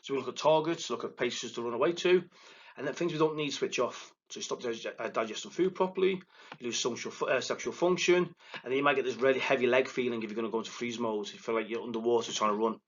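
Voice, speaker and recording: A man with a thick Liverpool accent giving a recorded lecture as a psychotherapist, excitable and quick, often stumbling and restarting his words. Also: medium pitch, muffled recording. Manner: fast, excitable delivery with verbal stumbles and restarts. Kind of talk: recorded lecture to counselling students. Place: presenting alone without a live audience.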